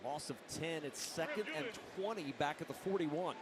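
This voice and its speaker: Higher-pitched male play-by-play announcer, noisy broadcast. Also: expressive, normal speed. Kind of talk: broadcast football play-by-play commentary. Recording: noisy game broadcast with stadium sound.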